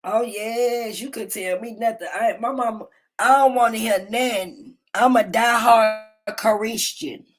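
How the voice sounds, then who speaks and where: High-voiced woman livestream host, brash, cussing, storytelling. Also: measured pace. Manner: loud, profane, animated storytelling. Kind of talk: casual livestream commentary. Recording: low-quality phone stream.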